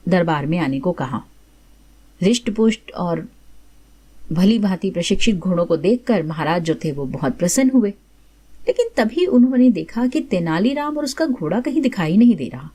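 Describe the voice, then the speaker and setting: A woman's voice: mid-range voice, warm tone. A woman host narrating a folk tale in a conversational storyteller's style, explaining and rephrasing as she goes.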